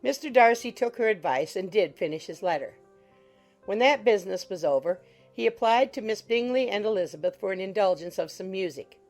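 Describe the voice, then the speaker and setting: A woman's voice: mid-range voice, animated, fairly quick. A woman reading scripted literary narration as an audiobook narrator. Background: soft music.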